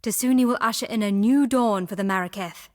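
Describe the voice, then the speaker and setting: A woman's voice: mid-range voice, fairly quick, darkish tone, natural voice. An actress playing a shrewd, teasing female antiquities trader in a video game, speaking with wry self-assurance. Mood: sly, persuasive. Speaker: game character. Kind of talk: theatrical video game voice acting.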